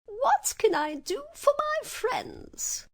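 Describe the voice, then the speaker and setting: A woman voicing a tiny, kindly queen of the mice in a squeaky little voice. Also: very animated, slow pace, fairly bright tone, exaggerated voice.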